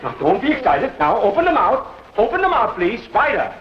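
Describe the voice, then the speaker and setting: A fussy, chuckling dentist with a thick German accent in a vintage comedy sketch. He talks very high, and his bossy orders swing up and down. The sound is dull and lo-fi, with a steady hiss under it. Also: a little fast. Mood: coaxing. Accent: German, put on. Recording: dull lo-fi old recording with hiss.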